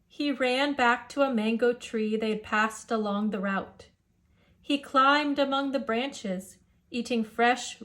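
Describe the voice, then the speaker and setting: A woman narrating a children's picture book aloud with a rhythmic, rhyming delivery. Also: on the high side. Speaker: storyteller.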